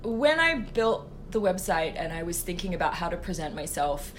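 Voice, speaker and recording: Animated Australian woman with lots of laughs. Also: on the low side, normal speed, a little hiss or hum. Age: late 30s. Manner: animated, laughs often, many fillers. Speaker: composer guest. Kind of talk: podcast interview.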